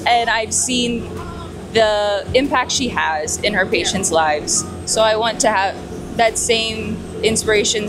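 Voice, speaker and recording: A woman in her twenties from the United States hosts a casual vlog. She has a slightly low voice and a chatty, giggly manner. Music plays clearly behind her. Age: 20s. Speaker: pageant contestant and vlog host.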